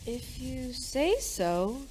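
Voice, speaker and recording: A woman's voice: sits high, big pitch swings, very slow, a little mellow, husky, full character voice, rough recording. A woman playing a practical, skeptical wife and mother in an amateur radio play. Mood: sensible, mild. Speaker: high school theatre performer.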